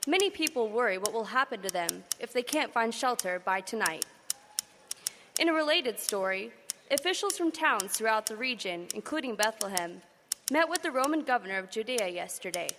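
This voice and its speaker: An actress playing a brisk woman radio news reporter in an old-time radio drama, reading the news in a crisp newsreader manner. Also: fairly high pitch, expressive, long reverb tail, noisy background. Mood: businesslike. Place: stage before a live audience.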